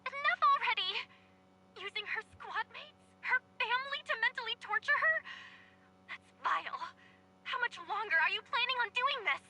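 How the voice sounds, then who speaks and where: Tiny, very high-pitched indignant companion. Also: female voice, animated, normal speed, sharp tone, a little hiss or hum, heavily acted. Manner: indignant, earnest. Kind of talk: video game voice acting. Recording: music behind the voice.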